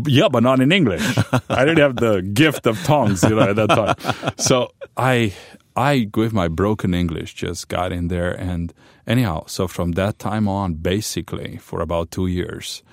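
A middle-aged man from Romania with a Romanian accent, a pastor telling his story loudly and with animation in a radio interview. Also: on the low side, unhurried, no echo, clean studio sound. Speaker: pastor, guest.

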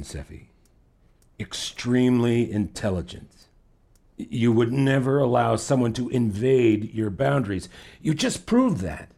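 A manipulative male professor in a fiction podcast. His mid-pitched voice has a slightly husky grain, and he speaks in a measured, condescending way, laying on praise with careful pauses. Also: warm tone. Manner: measured, patronizing, effusive praise with deliberate pauses. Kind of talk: fiction podcast audio drama acting.

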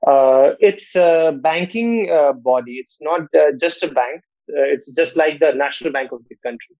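A man from Nepal, speaking as a podcast guest at a measured pace. He keeps tagging his sentences with "right?". His voice is dark and mellow, and the audio sounds dry and slightly dull, like a lo-fi call. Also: a little high, no echo. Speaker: guest, fintech co-founder. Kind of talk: podcast interview.

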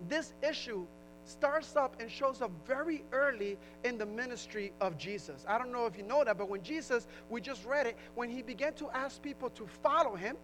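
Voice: mid-range voice, slight background noise, poor audio. A pastor from Puerto Rico with a New Jersey accent, preaching a sermon in a conversational way, often restarting phrases and rising into emphasis.

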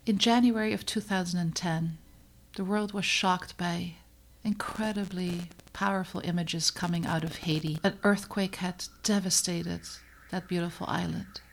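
A middle-aged woman from the Netherlands, a pediatrician hosting her own podcast, narrating in an earnest, measured and reflective way with emphatic pauses. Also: fairly low pitch, fairly even intonation, vocal fry, poor audio. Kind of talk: scripted personal podcast narration.

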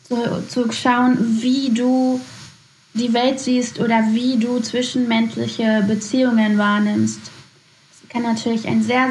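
Slow-spoken woman host, roomy sound. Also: on the high side, darkish tone, slight background noise. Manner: encouraging, measured questions. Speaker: podcast host. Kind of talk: podcast interview.

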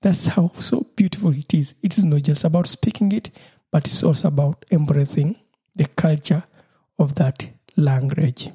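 A man with a Ugandan accent and Lusoga as his first language talks through his solo podcast. His voice is dark and slightly husky, and he keeps a cheerful, laughing tone while circling back over his points. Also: fairly high pitch, normal speed. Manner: cheerful, laughs often, repeats himself. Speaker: podcast host.

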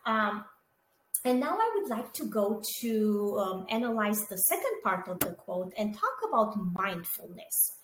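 A woman's voice: medium pitch, sing-song, unhurried. A middle-aged woman from Romania, co-hosting a podcast in a chatty, casual way, with many fillers and asides to her listeners. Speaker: podcast co-host. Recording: echoey room with faint background noise.

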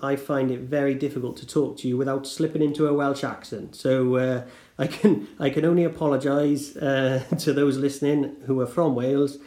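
A man hosting a relaxed podcast conversation over a call, his voice mellow and a little dark. He talks loosely, full of ums and restarts. The sound is roomy and echoey, as if recorded in a living room. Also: mid-range voice. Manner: chatty, many fillers and restarts. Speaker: podcast host. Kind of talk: casual interview chat. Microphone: remote call recording.

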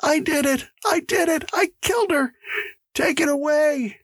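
A man's voice: extremely high pitch, unhurried, fairly bright tone, no room sound, voice pushed up. A man voicing a terrified, guilt-crazed prisoner in an audiobook, gasping and pleading incoherently. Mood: hysterical pleading. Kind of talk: audiobook character acting.

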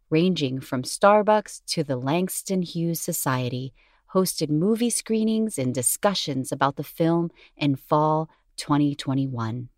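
Low-voiced woman narrating a documentary script. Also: darkish tone, no echo, studio quality. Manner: measured, formal read delivery. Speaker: podcast narrator.

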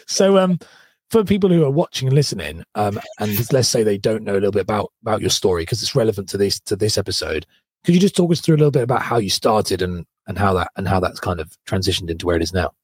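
A man hosting a podcast, his voice hoarse and husky. He talks eagerly and loosely, restarting his questions as he goes. The sound is dry and close. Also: on the low side, lively intonation, quick pace, no room sound. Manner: enthusiastic, rambling questions with many restarts. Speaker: podcast host. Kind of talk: casual interview chat.

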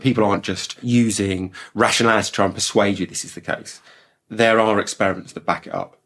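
Male co-host, low voice, measured expert explainer, husky. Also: a bit bright. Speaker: podcast co-host, behavioral science expert. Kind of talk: explanatory podcast chat.

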